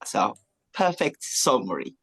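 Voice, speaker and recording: A man's voice: fairly low pitch, big pitch swings, unhurried, a bit bright, slightly rough. A man hosting a radio interview, friendly and chatty, with frequent filler phrases. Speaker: radio host.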